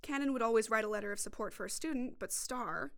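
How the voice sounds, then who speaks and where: Fast-talking woman narrating a documentary. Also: on the high side, fairly bright tone. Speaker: reporter and podcast narrator.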